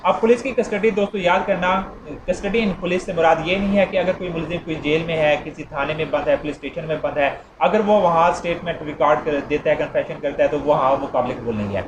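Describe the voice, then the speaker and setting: A man's voice: a little high, brisk, hardly any pauses. A man with a Pakistani accent gives an informal explanatory lecture, repeating and rephrasing his points and mixing in English legal terms. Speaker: law lecturer. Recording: roomy, dull, clearly noisy recording.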